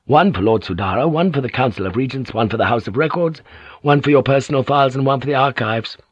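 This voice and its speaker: A man's voice: mid-range voice, fast talker, husky, no room sound, dull audio, natural voice. A man voicing a polite, precise secretary listing items carefully.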